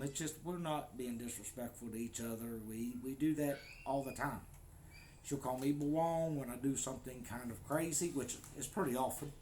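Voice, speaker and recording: An American man talks casually to the camera at an average pitch. He is easygoing and joking as he chats about everyday life, with faint noise behind him. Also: darkish tone. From United States. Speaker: vlogger. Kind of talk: casual vlog talk to camera. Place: home in the Philippines.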